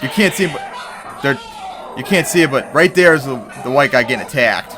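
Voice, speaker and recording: A man's voice: mid-range voice, animated, brisk, over music, crowd noise. A man giving heated, profane commentary on an article and news clips, reading passages aloud and reacting. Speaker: commentary vlogger.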